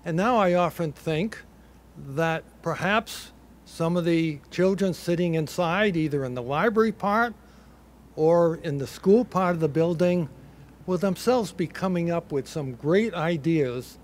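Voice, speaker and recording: An older man with a Boston accent giving a measured, reflective ceremony speech from a podium, with a light touch of humor. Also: a little high, slight background noise. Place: ceremony in a building under construction.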